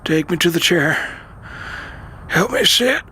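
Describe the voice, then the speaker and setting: A man acting a frail, devout old uncle in an audio drama, solemn and deliberate but fond and commanding. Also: a little high, expressive, pushed and bright, slight background noise, full character voice. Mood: weary, fond.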